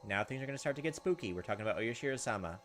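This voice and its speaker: A man's voice: mid-range voice, animated, very fast, fairly bright tone. A man hosting a game playthrough, switching between casual commentary and reading story text aloud. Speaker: gaming video host.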